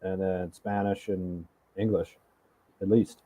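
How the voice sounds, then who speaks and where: A man's voice: sits low, flat delivery, takes it slowly, dark tone. A man co-hosting a casual chat from a remote call, thinking aloud in a chatty way. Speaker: regular co-host. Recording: tinny phone-like line.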